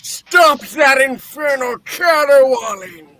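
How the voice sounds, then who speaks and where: Man acting a high-pitched, cranky old miser. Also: expressive, takes it slowly, pushed and bright, no echo, shouting, exaggerated voice. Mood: angry. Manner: blustering, snappish, exclaiming. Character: cranky, miserly old male boss, bitter and scornful. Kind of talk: dramatic radio-play reading.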